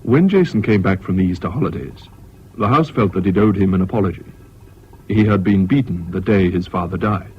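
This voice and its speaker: A reflective, educated Englishman, played by an actor narrates a radio play in measured Received Pronunciation. His voice sits a little low. The old broadcast is muffled with faint hiss. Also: lightly acted. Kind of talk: scripted radio drama narration.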